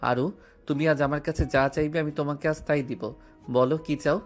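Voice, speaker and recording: A man acting a tender, earnest lover in a romantic audio drama, with soft music behind. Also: on the high side, fairly even intonation, moderate pace. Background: soft background music.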